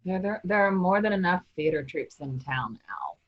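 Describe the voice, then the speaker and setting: A woman playing a blunt, opinionated actress in a traveling troupe, husky-voiced, in a staged play reading. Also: lowish voice, lively intonation, moderate pace, lo-fi sound.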